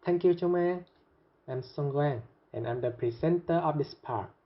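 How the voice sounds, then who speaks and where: A man's voice: a little high, normal speed. A young man reading his part of a scripted student presentation. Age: young adult. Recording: dull, lo-fi audio.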